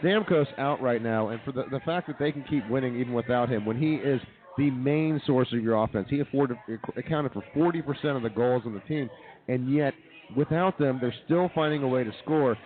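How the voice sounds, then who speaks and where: A man talks quickly and firmly, tripping over his own openings as he argues his point. The sound is thin and narrow, like a phone line. He speaks at a middle pitch for a man. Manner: fast, confident opinions with repeated restarts. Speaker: co-host. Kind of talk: casual sports talk. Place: brewery, live show.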